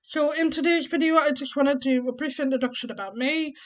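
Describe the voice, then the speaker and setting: A woman's voice: sits high, a little fast. Chatty rambling woman in her thirties. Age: 30s. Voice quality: speech that sounds a bit different from being deaf. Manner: chatty and rambling, explains step by step with ums. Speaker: vlogger. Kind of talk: casual explanatory vlog.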